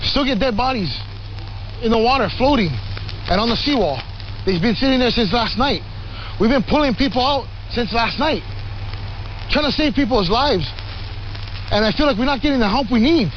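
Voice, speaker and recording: A man's voice: very high voice, lively intonation, moderate pace, long pauses. A man from Hawaii giving an impassioned, distressed eyewitness account. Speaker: local eyewitness. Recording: muffled outdoor clip, faint noise.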